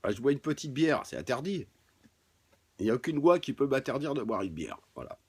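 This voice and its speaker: A middle-aged man with a husky voice, chatting casually and bluntly on a livestream, with crude swearing. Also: mid-range voice, big pitch swings, fairly quick, darkish tone. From France. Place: home garage.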